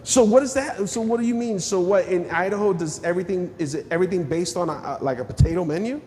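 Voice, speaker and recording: A man's voice: high voice, quick pace, darkish tone. A man hosting a podcast, talking casually with a guest, laughing a lot and often restarting his sentences. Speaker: podcast host. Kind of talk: casual interview chat. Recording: long reverb, faint ambient noise.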